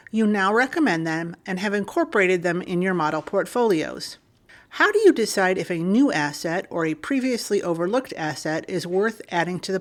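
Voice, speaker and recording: A woman co-hosting a podcast, reading a listener's email aloud in a steady, clear manner. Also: lowish voice.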